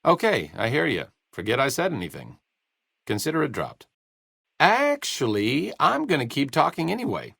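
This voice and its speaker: A man's voice: medium pitch, wildly swooping pitch, moderate pace, gravelly, pushed and bright, slightly rough, no echo, heavily acted. A man playing a wry, bookish, self-deprecating doctor and researcher in a video game, with dry, erudite understatement. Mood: wry, hesitant. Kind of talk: video game character dialogue.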